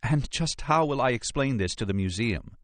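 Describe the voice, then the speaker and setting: Man putting on an indignant woman archaeologist, pitch lifted high. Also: lively intonation, moderate pace, a bit bright, no echo, close to an everyday voice. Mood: irritated, resistant. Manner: protesting, emphatic. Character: indignant, no-nonsense woman archaeologist, easily irritated. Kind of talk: audiobook character dialogue.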